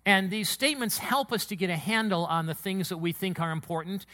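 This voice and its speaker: A pastor preaching a sermon in his church, his booming voice sitting high with a bright, forceful edge. He is emotional and quick to get excited as he speaks to the congregation. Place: church sanctuary.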